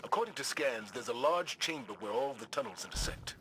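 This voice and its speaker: A stern, resolute commander, played by a man, giving firm, curt orders in an even middle register. His lines sit over heavy game background noise. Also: mid-range voice, fairly quick, natural voice. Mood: commanding.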